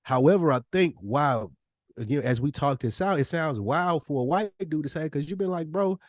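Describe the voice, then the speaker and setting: A man's voice: on the high side, animated, normal speed, dry recording, studio quality. A man from the United States talking as a podcast host in casual, opinionated chat, slangy and emphatic in his delivery.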